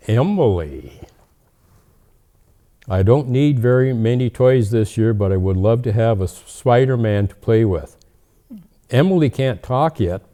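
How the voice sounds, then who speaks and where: A man playing a jolly, gentle old Santa Claus, reading storybooks and chatting in an unhurried, deliberate way. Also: lowish voice, natural voice. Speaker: storyteller host. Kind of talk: storybook reading and casual chat.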